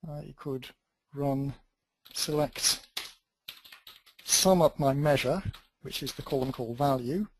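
A man's voice: mid-range voice, takes it slowly, long pauses, dry recording. A man teaching a database tutorial, thinking aloud as he types through a live demo with dry, self-deprecating asides. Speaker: database instructor.

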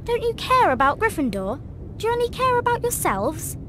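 A prim schoolgirl witch in a video game, high and very animated. She is a bossy know-it-all, earnest and scolding, with a faint rumble of noise behind her. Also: fairly quick, full character voice. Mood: indignant.

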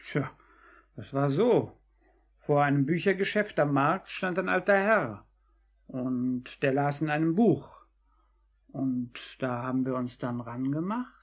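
An actor playing a young, sheepish pickpocket apprentice in a 1950s German radio play, with a drawling delivery. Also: male voice, fairly high pitch, lively intonation, slow.

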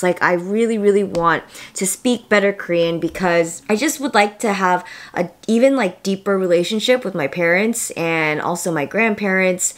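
A woman in her twenties talking casually to camera in a home vlog, upbeat and chatty with lots of filler words. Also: medium pitch. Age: 20s. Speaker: vlogger. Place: small home studio room indoors.

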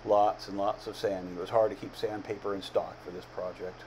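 A man in his fifties giving a casual, plain-spoken, unhurried voice-over as an amateur builder narrating his project. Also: sits low, little pitch movement, darkish tone, slight background noise, low-quality recording. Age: 50s.

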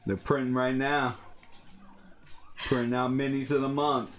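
A man's voice: medium pitch, little pitch movement, a little slow, a little hiss or hum. Laid-back man with dry quips. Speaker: livestream co-host and 3D printing hobbyist. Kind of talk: casual live-stream chat.

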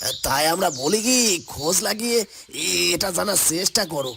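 A man playing a fussy village neighbour in a cartoon, creaky, stammering and insistent as he advises. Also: high voice, animated, moderate pace, background noise. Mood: insistent advice.

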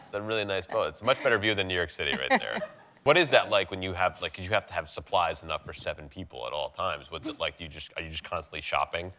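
A man co-hosting a TV talk show, firing off animated questions and jokes. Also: low voice, fast talker. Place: TV studio with audience.

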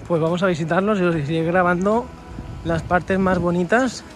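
A man's voice: sits high, normal speed. A man with a Spain accent giving casual, chatty travel narration to camera while walking outdoors. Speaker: travel vlogger. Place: mostly outdoors in city streets and parks. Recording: handheld outdoor vlog with music behind.

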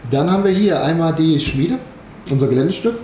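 A man in his thirties co-hosting, welcoming viewers and briskly reading out an army list. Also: a little high, expressive, mellow. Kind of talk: casual chat and listing game units. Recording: echoey room with faint hiss.

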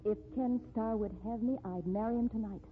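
A woman's voice: a little high, steady tone, brisk, lightly acted. An actress playing a determined, devoted woman writer, insistent and emphatic in 1950s radio style. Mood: devoted, defiant. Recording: tinny, noisy 1950s radio recording.

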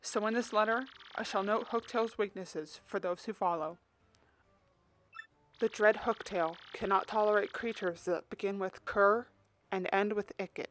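A woman's voice: mid-range voice, moderate pace, a little hiss or hum, lightly acted. A woman voicing a timid, earnest young male turtle in a fan dub of a video game, reading a letter and yelping in panic. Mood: solemn reading. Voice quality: earnest, excitable, gets loud when startled. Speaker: fan voice actor.

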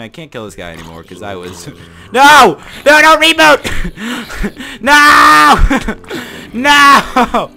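A young man giving casual, rambling commentary over his own gameplay, joking at himself and breaking into laughing shouts. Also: fairly high pitch. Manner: rambling, self-mocking, bursts into laughing shouts. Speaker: gaming YouTuber.

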